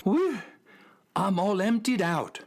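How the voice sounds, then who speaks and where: A woman playing a good-hearted, dim many-nosed creature in a children's audio drama, with a husky voice. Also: medium pitch, big pitch swings, takes it slowly, heavy background noise. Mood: eager, straining.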